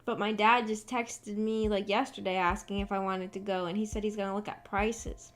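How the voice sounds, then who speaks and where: A young woman streaming, chatting casually and rambling with lots of fillers, her voice a bit rough from just waking up. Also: mid-range voice, level tone, normal speed.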